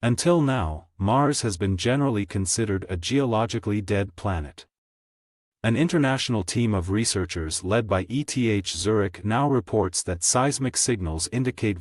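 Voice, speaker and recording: A man reading scripted informational text aloud in a measured, formal way. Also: on the low side, animated, dry recording, studio quality. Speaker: narrator of test practice passages. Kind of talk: scripted read-aloud narration.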